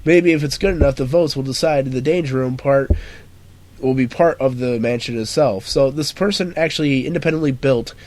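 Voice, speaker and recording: A hoarse man with a cold, co-hosting a casual podcast and reading odd news stories aloud with frequent laughter. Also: mid-range voice, fairly quick, darkish tone. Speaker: podcast co-host.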